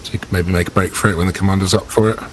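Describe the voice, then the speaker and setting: A man's voice: sits low, steady tone, racing, a little hiss or hum. A man playing a tabletop game, talking through his plans casually. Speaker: player.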